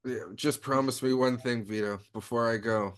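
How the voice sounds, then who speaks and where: A man's voice: mid-range voice, steady tone, moderate pace, clear, resonant tone, natural voice. A man reading the part of a wry, profane action-hero sidekick in a comedy table read. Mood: dying, earnest.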